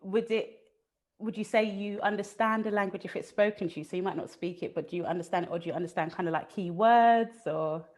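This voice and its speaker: An English woman hosts a relaxed interview about food with an upbeat, friendly manner. She laughs easily and talks over a video call. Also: medium pitch, fairly quick. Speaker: host and food photographer.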